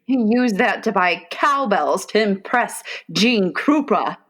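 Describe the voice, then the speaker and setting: A woman's voice: mid-range voice, lively intonation, slow pace, fairly bright tone. A woman playing a fretful, long-suffering mother of grown sons in a comedic radio play, exasperated and melodramatic.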